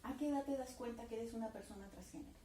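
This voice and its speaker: A woman, asking interview questions in a calm, direct way. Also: on the high side, quick pace, slight background noise. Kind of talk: interview questions and scripted intro. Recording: echoey room, mic at a distance.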